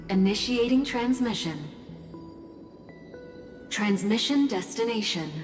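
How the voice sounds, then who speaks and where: An impassive female computer system from a video game. The phrases are clipped and procedural but slowly spoken, with long reverb around them. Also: medium pitch. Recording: long reverb, music behind.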